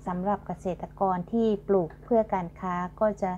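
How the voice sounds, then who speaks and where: Middle-aged lady showing her garden, gentle polite voice, phone mic outdoors, kinda muffled. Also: mid-range voice, fairly even intonation, normal speed. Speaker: garden channel host. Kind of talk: casual garden tour narration. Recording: dull lo-fi sound with faint hum.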